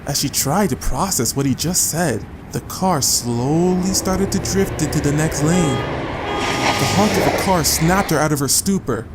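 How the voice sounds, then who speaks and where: A man's voice: on the high side, measured pace. A man reading a scripted short story as a dramatic audiobook narrator. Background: music.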